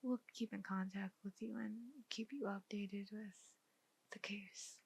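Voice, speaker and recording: Slow, breathy woman detective, ASMR. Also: mid-range voice, fairly even intonation. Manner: measured, deliberate questioning. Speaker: ASMR roleplayer playing a detective. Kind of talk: soft-spoken, breathy ASMR roleplay.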